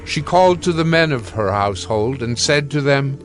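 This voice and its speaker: Measured male scripture narrator over music. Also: mid-range voice, animated.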